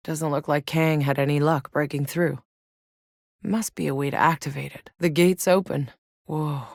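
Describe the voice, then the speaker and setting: Tough, low-voiced sardonic female mercenary. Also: no echo. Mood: focused, dry. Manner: dry, sarcastic, blunt. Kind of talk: video game character voice lines.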